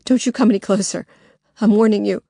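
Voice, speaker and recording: A terrified woman under attack, as a narrator voices her, pleading in a high, frantic voice. The recording is dry and close. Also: flat delivery, normal speed, gravelly, warm tone, dry recording. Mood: frightened.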